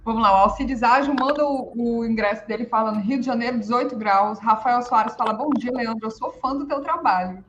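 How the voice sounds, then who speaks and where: A woman's voice: fairly high pitch, fairly quick, few pauses, lo-fi sound, background noise. A Brazilian woman moderating a live stream, reading viewer comments aloud with playful asides. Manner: playful, laughing asides, hesitant restarts.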